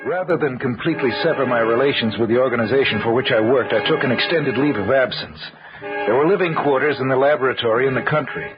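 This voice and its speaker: An actor in an old-time radio drama playing a skeptical, uneasy scientist who recounts the tale in a measured, serious way. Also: male voice, mid-range voice, close to an everyday voice. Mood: grave narration. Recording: muffled vintage radio recording, prominent music.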